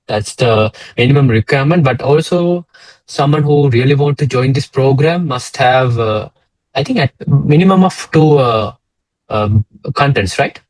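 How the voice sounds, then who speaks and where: A man's voice: medium pitch, a little mellow, no room sound, poor audio. A young man from Sri Lanka co-hosting a casual slide talk over a video call, hesitant with many fillers and restarts. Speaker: co-host, data engineer. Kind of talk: casual presentation chat.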